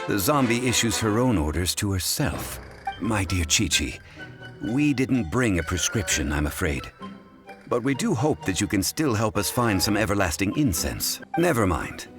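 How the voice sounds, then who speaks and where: A man voicing a composed, courteous, scholarly gentleman in a video game, speaking in measured, formal, old-fashioned phrases. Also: lowish voice. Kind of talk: scripted video game dialogue.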